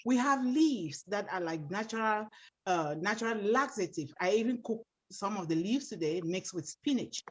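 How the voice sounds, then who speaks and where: A woman from Cameroon tells a story on a video call in an earnest, grateful way. Her voice sits in the middle of the pitch range. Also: lively intonation, normal speed, slightly rough, highs cut off.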